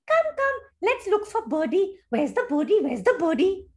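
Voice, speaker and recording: A woman's voice: very high-pitched, sing-song, normal speed, pitched above the performer's own voice. A woman voicing a friendly, hungry little male squirrel in a children's story, playfully repeating words. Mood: eager.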